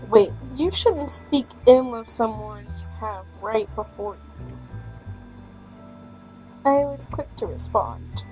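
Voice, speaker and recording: High-pitched woman streamer reading over music. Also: slow, pauses often, mellow, rough recording. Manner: stumbling reading, irreverent swearing asides. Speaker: streamer reading a visual novel aloud. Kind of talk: casual game let's play, reading story text aloud with offhand comments.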